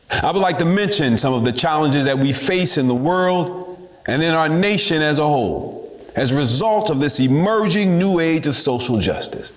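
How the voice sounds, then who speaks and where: A man giving a dramatic reading of a historical speech in a measured, deliberate oratorical manner. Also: on the high side. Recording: echoey room.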